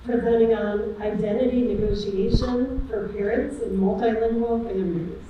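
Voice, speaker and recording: A woman emcee introduces each speaker in a measured, formal way. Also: on the high side, fairly even intonation, dull audio. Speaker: event emcee. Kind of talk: formal announcing. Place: auditorium. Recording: echoey hall, far mic, noise.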